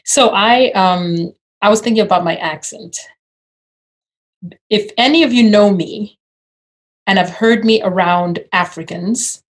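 A woman from Uganda chats easily on a podcast. Her pitch sits in a middle range and she tells her story in a relaxed, reflective way. She talks as if to friends. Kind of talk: casual chat.

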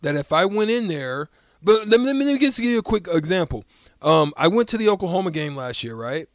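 A man's voice: sits high, very animated, fairly quick, dry recording, clean studio sound. A man in his late forties co-hosting a sports radio show, bantering casually in a folksy, colloquial way and repeating words. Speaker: radio co-host.

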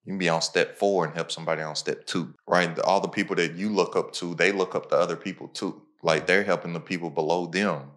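Low-voiced man hosting a podcast. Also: fairly even intonation, fast talker, hardly any pauses, a bit bright. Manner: motivational, talks in repeated punchy phrases. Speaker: podcast host. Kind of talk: casual conversational podcast talk.

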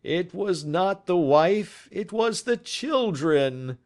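A man doing a despairing high-pitched prisoner. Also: expressive. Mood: anguished. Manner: anguished, slow, pleading. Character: despairing male prisoner, proud then broken.

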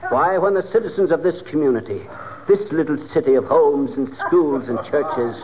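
An actor with an American accent playing a boastful, bumbling small-town husband, pompous and folksy, in 1940s radio comedy. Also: male voice, fairly high pitch, moderate pace, natural voice. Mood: grandiose. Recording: tinny, noisy old radio recording.

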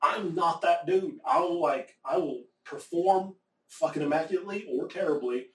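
A man from the American South talking in casual conversation, rambling and profane, often getting animated. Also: fairly high pitch, moderate pace. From American South, Appalachia. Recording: echoey room, distant mic.

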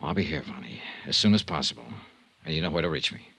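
A man's voice: rumbling low, animated, quick pace, vocal fry, a bit bright, breathy edge, no echo, full character voice. A male actor playing a troubled, hard-boiled insurance investigator in a 1950s radio drama, clipped and wry. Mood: gentle, evasive. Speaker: lead actor and first-person narrator. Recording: 1950s radio recording with music.

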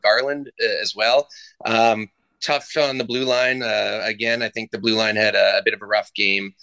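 A man, a guest on a sports podcast, talking casually with many filler words from a quiet room in a house over a video call. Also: mid-range voice, clean tone, dry recording, low-quality recording.

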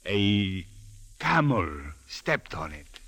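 An actor playing a brisk, commanding police captain with an authoritative, formal manner in a 1940s radio drama. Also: male voice, on the low side, sing-song, full character voice. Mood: matter-of-fact.

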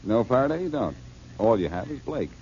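An actor playing a confident detective who explains how a crime was done, in a 1940s radio drama. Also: male voice, medium pitch, sing-song, brisk, mellow, a little hiss or hum. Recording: dull 1940s radio recording.

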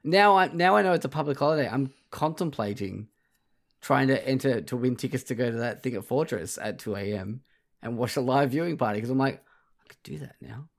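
A young man co-hosting a gaming podcast, excitable and swearing freely, his voice going croaky late at night. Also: medium pitch, brisk. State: voice getting croaky. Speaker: podcast co-host. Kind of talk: casual gaming chat.

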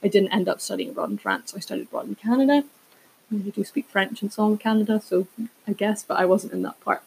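A woman's voice: mid-range voice, very animated, fast talker, creaky, slight background noise, low-quality recording. A woman, chatting casually as a solo podcast host in a rambling way with self-aware asides. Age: 20s.

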